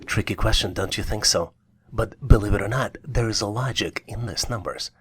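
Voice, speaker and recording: A man with a fairly low voice narrates a scripted puzzle lesson. He talks straight to the listener in the encouraging way of a test-prep instructor. There is some noise behind his voice. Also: moderate pace. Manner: encouraging, addresses the listener directly.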